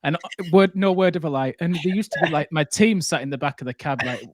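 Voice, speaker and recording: A man's voice: fairly high pitch, fast, no echo, studio quality. A man who is a hospitality entrepreneur guest, telling casual, rambling anecdotes and swearing freely.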